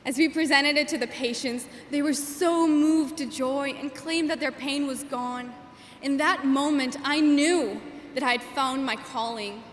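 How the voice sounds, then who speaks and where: A young woman in her twenties whose first language is Gujarati, giving an earnest, measured commencement speech as class valedictorian at a podium microphone. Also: high-pitched, fairly bright tone, slight background noise. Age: 20s. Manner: earnest, measured, with emphatic phrasing. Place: large commencement hall. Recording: echoey hall with long reverb.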